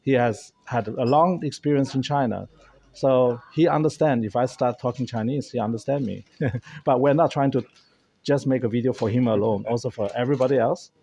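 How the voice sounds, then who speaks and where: A man's voice: medium pitch, a little fast, warm tone, slightly rough. A man whose first language is German, hosting and talking casually to a camera held by a friend in a café, explaining earnestly with frequent restarts. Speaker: host.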